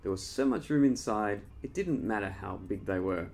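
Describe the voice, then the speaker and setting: A man reading his own children's story as an expressive storyteller, addressing young listeners directly. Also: fairly low pitch, normal speed, vocal fry. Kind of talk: animated scripted storytelling for children.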